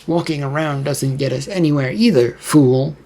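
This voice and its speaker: A man's voice: on the high side, moderate pace, warm tone. A man voicing an impatient, haughty demon who lives in someone's head, in an audiobook story. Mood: scornful. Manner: haughty, needling. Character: impatient, scornful male demon living in a man's head.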